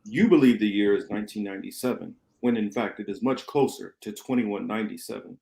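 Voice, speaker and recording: Guy doing a calm wise rebel captain for a radio play, measured, explains stuff, echoey room. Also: fairly low pitch, gravelly. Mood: calm, grave. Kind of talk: amateur radio play script reading.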